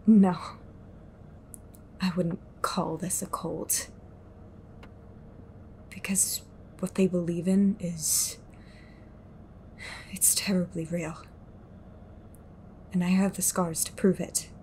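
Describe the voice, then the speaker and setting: A woman playing a haunted, secretive fighter against an occult group in an audio drama, speaking cryptically and deliberately. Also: on the low side, flat delivery, warm tone, a little hiss or hum. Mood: somber, explaining. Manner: cryptic, slow, deliberate, sighing. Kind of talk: audio roleplay drama acting.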